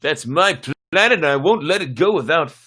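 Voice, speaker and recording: A man's voice: fairly high pitch, animated, moderate pace, bright, forceful tone, breathy edge, heavily acted. A man performing bold, stubborn starship crew in a sci-fi dramatic reading, emphatic and melodramatic. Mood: defiant.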